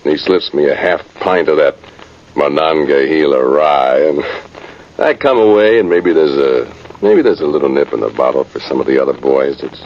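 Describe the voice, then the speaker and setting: An actor, a man, playing a folksy, rueful cavalry sergeant rambling through a radio drama monologue. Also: rumbling low. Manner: folksy, ungrammatical, rambling soldier talk. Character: folksy, rueful old-time cavalry sergeant, a ghostly soldier. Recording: muffled, hissy vintage radio recording.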